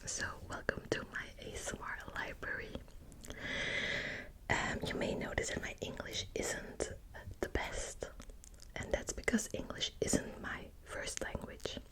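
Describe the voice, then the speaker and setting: A young woman from Belgium with a Dutch accent, whispering softly and hesitantly as she hosts her ASMR book channel, with many small fillers. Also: measured pace, low-quality recording.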